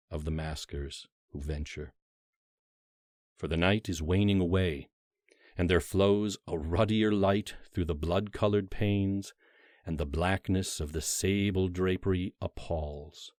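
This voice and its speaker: A very deep-voiced man narrates classic horror fiction. His phrasing is measured and theatrical, shaped by deliberate dramatic pauses. The sound is dry, with almost no room around the voice. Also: darkish tone, no room sound. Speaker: narrator reading a classic horror story aloud. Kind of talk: dramatic literary audiobook narration.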